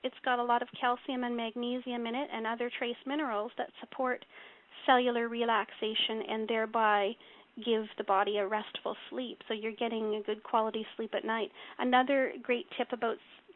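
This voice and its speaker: A woman's voice: on the high side, little pitch movement, fairly quick, dry recording. A woman in her forties with a young-sounding voice, giving an earnest, casual talk on a call, with many fillers and restarts. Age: 40s. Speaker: speaker on a teleconference call. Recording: tinny phone line.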